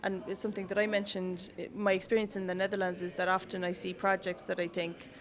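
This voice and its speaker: A woman's voice: medium pitch, quick pace. Chatty Irish woman from Cork. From Ireland. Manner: chatty, laughing often, animated. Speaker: city council staff guest. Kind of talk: casual interview conversation.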